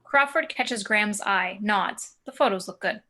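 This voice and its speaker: A woman's voice: medium pitch, a little slow, sharp tone. A woman giving scripted narration of screenplay action and scene directions at a table read. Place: remote video call.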